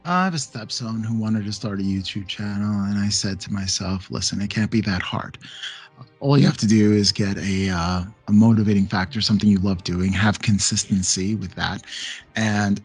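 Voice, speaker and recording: A man guest on a hobby podcast, telling stories in a relaxed, laid-back way and laughing often. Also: on the low side, slightly rough. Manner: storytelling, laughs often, acts out quotes. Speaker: podcast guest, painting channel creator. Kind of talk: casual podcast chat.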